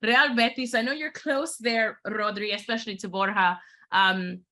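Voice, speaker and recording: A woman hosts a football show in casual, enthusiastic chat, with plenty of fillers. Her tone is bright and forceful, but a little hoarse today. She keeps the talk moving at a middling pitch. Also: steady tone, normal speed. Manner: chatty, enthusiastic, many fillers. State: slightly hoarse.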